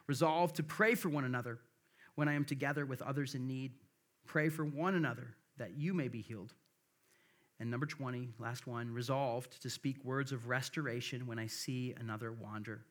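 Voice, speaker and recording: A middle-aged man from the American Midwest with a Midwestern accent slightly softened by the South, preaching a sermon, reading scripture in an earnest way and tossing in "right?" to the congregation. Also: medium pitch, normal speed. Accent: Midwestern, a little softened by the South. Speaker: pastor.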